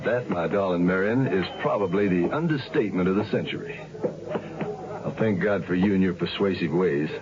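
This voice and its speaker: A man playing a deep-voiced ex-con narrator. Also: moderate pace, background noise, natural voice. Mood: affectionate. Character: young ex-convict man narrating his story. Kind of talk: 1970s radio drama acting. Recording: dull radio drama recording.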